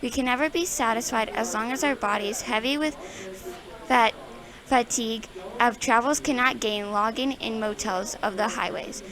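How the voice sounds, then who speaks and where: Casting: female student reader, somewhat high voice, clear crowd noise behind. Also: moderate pace, low-quality recording. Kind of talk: reading a speech aloud.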